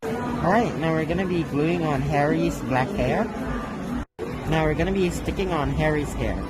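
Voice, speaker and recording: A man's voice: on the high side, moderate pace, heavy background noise. A male student casually narrating a step-by-step craft tutorial.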